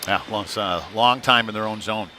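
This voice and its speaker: A man's voice: lowish voice, normal speed, a crowd in the background. A man doing live ice hockey play-by-play commentary in a conversational, informal manner. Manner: conversational, informal, tag questions. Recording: clearly noisy venue ambience.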